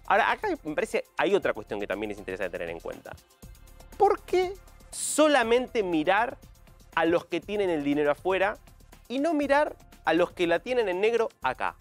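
A man in his thirties with an Argentine accent, explaining figures as a panelist on a studio news show. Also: on the high side. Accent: Argentine Spanish. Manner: explanatory, lively intonation. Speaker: panelist economics analyst.

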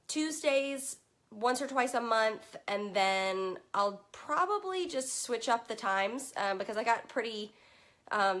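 A woman's voice: mid-range voice, a bit bright. A young woman from Virginia who is losing her voice to allergies, chatting upbeat and casual, with many "um"s, to a live group from a room at home in front of bookshelves. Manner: chatty and upbeat, many "um"s, greets viewers by name. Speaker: children's book consultant and host. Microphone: webcam at desk distance.

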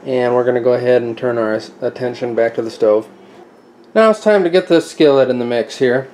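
Man, relaxed home cook, talking through each step, roomy kitchen, sizzling pan behind. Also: mid-range voice, fairly quick. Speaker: home cook filming meal prep. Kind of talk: casual how-to narration. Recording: echoey room with clear kitchen noise. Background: sizzling pan and stirring.

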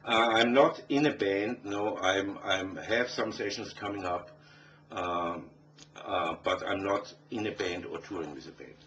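A man's voice: low voice, measured pace, fairly bright tone. A middle-aged man from Austria with an Austrian German accent, a native German speaker answering conversationally as a musician in an interview. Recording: dull, distant, faintly noisy interview audio.

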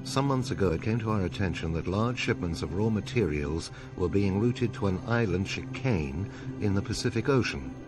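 Low-voiced, commanding male agency chief. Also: lively intonation, over music. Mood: grave briefing. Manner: measured, authoritative. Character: stern, commanding male leader of secret agency. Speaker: game cutscene actor.

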